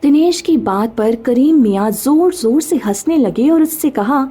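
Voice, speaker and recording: A woman's voice: high voice, lively intonation, unhurried, mellow, soft background music. A woman narrating a scripted story as the narrator.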